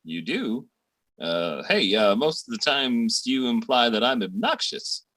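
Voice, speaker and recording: A man's voice: mid-range voice, animated, a little slow, a bit bright, no echo. A man playing a cocky, boastful but cowardly boyfriend, slangy and swaggering, in an old-time radio comedy. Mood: friendly.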